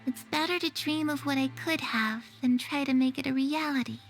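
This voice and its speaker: A woman's voice: high voice, moderate pace, creaky, soft background music. Wistful, disillusioned young girl. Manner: wistful, resigned. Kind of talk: video game voice acting.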